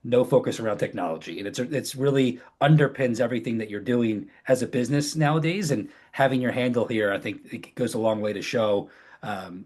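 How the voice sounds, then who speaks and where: Man, mid-pitched voice, webinar presenter, conversational delivery, business product pitch. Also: fairly quick, darkish tone. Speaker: webinar presenter, company founder.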